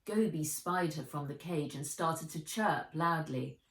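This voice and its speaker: A woman's voice: low-pitched, measured pace. A woman reading a children's adventure story aloud as its author, expressively, in a storytelling style. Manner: expressive, acts out the dialogue. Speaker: author reading her own book aloud. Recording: roomy echo, distant from the mic.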